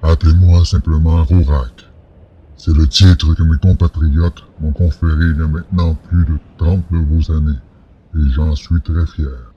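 An aged alien ruler in an audio drama, with an extremely deep voice and heavy rasp. He speaks with courteous, dignified authority and a genial temper. The recording is dull and muffled. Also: flat delivery, measured pace, exaggerated voice. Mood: courteous, commanding. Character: dignified elderly alien supreme leader, genial and commanding.

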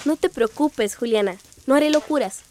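A woman's voice: high-pitched, normal speed, darkish tone, slight background noise, close to an everyday voice. An actress playing a young, sympathetic and anxious female friend in a radio drama. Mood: tender. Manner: concerned, questioning.

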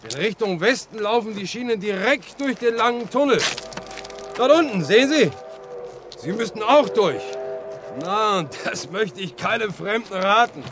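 A man's voice: high voice, expressive, moderate pace, music in the background. A man acting in a 1950s German radio play as a talkative, good-humoured railway track worker, folksy and wry. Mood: explaining. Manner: folksy, chatty. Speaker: radio drama actor. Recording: noisy vintage 1950s radio recording.

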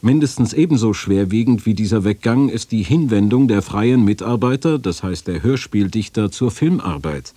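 A man reading documents and quotations formally for a radio feature, in a measured, official-sounding way. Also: on the low side, expressive, warm tone, no room sound.

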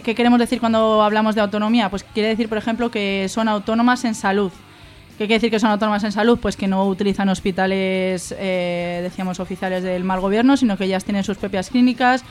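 A woman with an accent from Spain gives an informal radio explanation in a medium-pitched voice. She is didactic, posing questions and then answering them. Faint music sits behind her. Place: live radio studio.

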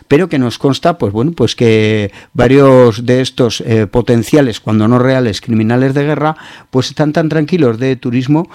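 A man's voice: medium pitch, darkish tone, dry recording, studio quality. A man with a Peninsular Spanish accent hosting a radio show, giving opinionated news commentary in an informal, hesitant way.

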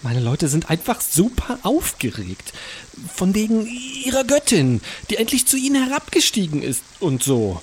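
An actor voicing an eager, fawning, polite cannibal office worker in a comic audio drama, gushing and stammering with awe. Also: male voice, high-pitched, wildly swooping pitch, normal speed, slight background noise. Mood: chatty, apologetic.

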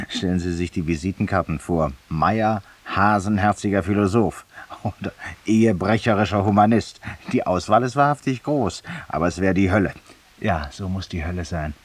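An actor playing a vain, ironic, confessional man in a 1950s radio drama monologue, urbane and theatrical, addressing a silent companion. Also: low voice. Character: vain, confessional, ironic male Parisian lawyer in exile. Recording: distant-sounding 1950s radio studio recording.

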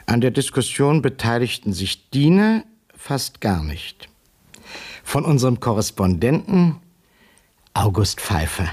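An actor playing a condescending newspaper correspondent, deliberately reading out his report in a husky voice for a radio play. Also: mid-range voice, very animated, darkish tone.

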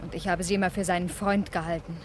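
An actress playing an anxious, loyal wife who pleads and reproaches, in a German crime radio play. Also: female voice, lowish voice, flat delivery, fast, natural voice. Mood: indignant, reproachful. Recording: faintly noisy vintage radio play recording.

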